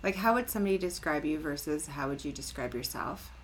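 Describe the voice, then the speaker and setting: A middle-aged woman hosting a casual conversational interview, telling stories in a chatty, animated, informal way. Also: sits low, quick pace. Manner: chatty, informal, animated storytelling with frequent "like" and "right?". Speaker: podcast host.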